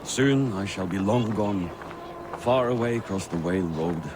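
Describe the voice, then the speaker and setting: An actor playing a proud, bitter banished Viking warrior in a video game, grave and measured. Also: male voice, fairly low pitch, noisy background, close to an everyday voice. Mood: grave, resigned. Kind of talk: dramatic video game acting.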